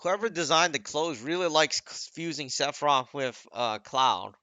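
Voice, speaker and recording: A man's voice: a little high, animated, moderate pace, pushed and bright, no echo. A man giving casual running commentary on video game scenes, chatting to viewers and putting questions to them. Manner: conversational, addresses viewers with questions.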